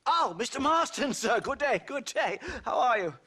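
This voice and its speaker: A man playing a nervous, pompous, eccentric professor in a video game, stammering and excitable in a condescending way. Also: extremely high pitch, normal speed, full character voice. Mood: eager, rambling.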